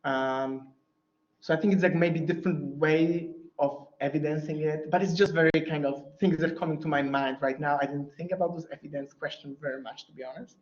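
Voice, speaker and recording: A man's voice: fairly high pitch, steady tone, fairly quick. A man whose first language is Polish answers audience questions after a keynote lecture, thinking aloud with many fillers and restarts. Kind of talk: academic Q&A answer. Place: lecture hall. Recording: roomy, dull lecture hall audio.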